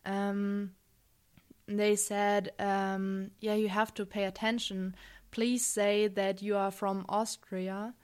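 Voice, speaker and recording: Slow, hesitant woman from Germany. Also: medium pitch, little pitch movement, darkish tone, clear, resonant tone. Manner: hesitant, many ums, thoughtful. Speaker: guest. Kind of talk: casual conversation.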